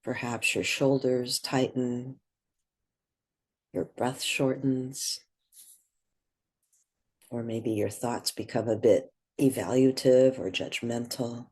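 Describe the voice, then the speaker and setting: A woman guiding a meditation, speaking gently in short, measured phrases with long pauses between them. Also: extremely low pitch, steady tone, slightly rough. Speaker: guided meditation leader. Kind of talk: guided meditation.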